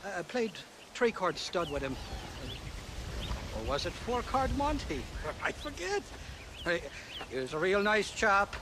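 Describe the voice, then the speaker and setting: High-pitched, swooping Irish drunkard. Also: male voice, big pitch swings, a little slow, pauses often, background noise, heavily acted. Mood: vague, merry. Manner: rambling, chuckling, hesitant. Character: merry, rambling, forgetful drunken Irish rogue. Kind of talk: video game cutscene acting.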